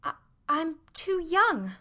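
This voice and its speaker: A woman's voice: very high voice, very animated, slow, pushed and bright, voice pushed up, exaggerated voice. A woman voicing a shy, flustered teenage girl with a petulant streak and a faltering manner in a storybook reading. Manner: faltering, stammering.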